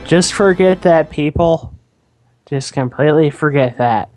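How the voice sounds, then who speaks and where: A man's voice: on the high side, a little slow, a little mellow. A man casually bantering as a gameplay co-host, with playful, goofy interjections. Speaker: let's play co-host. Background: game music.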